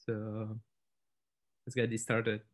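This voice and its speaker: A man's voice: medium pitch, level tone, slow pace. Easygoing young man co-hosting a podcast. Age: young adult. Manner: easygoing, playful, chatty. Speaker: startup co-founder, podcast co-host. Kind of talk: casual podcast chat.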